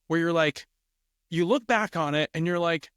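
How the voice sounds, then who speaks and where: A man's voice: on the high side, moderate pace, a bit bright, clean tone, no echo, studio quality. A man co-hosting a film podcast, explaining his views casually and checking in with little tags as he makes each point.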